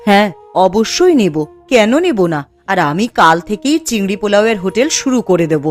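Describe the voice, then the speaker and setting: A woman's voice: medium pitch, expressive, a little fast, faint music behind, lightly acted. A woman playing a resolute, wronged village widow in a cartoon moral story, earnest and emphatic. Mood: warm, grateful.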